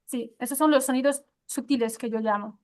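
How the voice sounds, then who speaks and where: A woman's voice: on the high side, brisk, darkish tone. A woman speaking as a guest pronunciation teacher, explaining with many fillers and restarts. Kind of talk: conversational interview answers.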